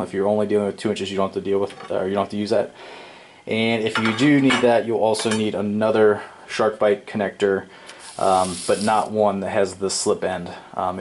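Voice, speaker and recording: A man with a low, fairly flat voice walks through a repair at an indoor workbench. He explains things in a relaxed, unscripted way, full of small pauses and self-corrections. A faint hiss sits under the recording. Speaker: DIY home repair presenter. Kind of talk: casual hands-on tutorial. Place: indoor workshop at a wooden workbench.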